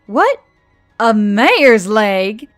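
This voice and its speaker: A woman's voice: medium pitch, very animated, very slow. A woman performs a hot-tempered female scavenger from an audiobook story. She is biting and sour, full of exasperated sarcasm, and music plays behind her.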